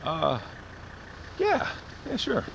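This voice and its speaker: An actor playing a polite, conciliatory young security guard in an audio drama, halting and hesitant, outdoors by a car. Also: male voice, on the high side, huge pitch swings, creaky, a bit bright, a little hiss or hum, full character voice. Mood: placating. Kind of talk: audio fiction acting.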